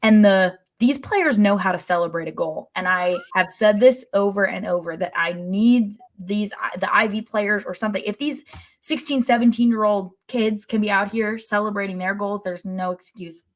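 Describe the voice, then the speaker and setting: A woman's voice: medium pitch, normal speed. An American woman co-hosting a sports podcast, chatting conversationally about the game. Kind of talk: conversational soccer podcast discussion.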